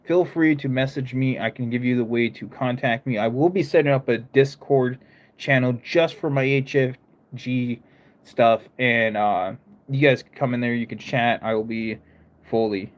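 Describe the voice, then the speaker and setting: A man's voice: mid-range voice, moderate pace. A young man streaming live who talks with a mixed American accent, part northern and part southern, chatting loosely with viewers and often stopping to restart his sentences. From United States. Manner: rambling, stops and restarts, talks to chat. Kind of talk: casual live-stream chat.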